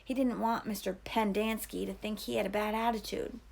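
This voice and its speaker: Woman reading a story aloud, slightly creaky voice. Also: medium pitch, steady tone, fairly quick, background noise. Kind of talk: children's novel read-aloud.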